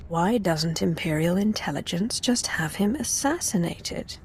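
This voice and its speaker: A woman's voice: lowish voice, lively intonation, measured pace, slight background noise. A woman playing a cool, ruthless Imperial warrior in a video game, with dry, self-assured, sardonic delivery. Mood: skeptical. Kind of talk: scripted game cutscene dialogue.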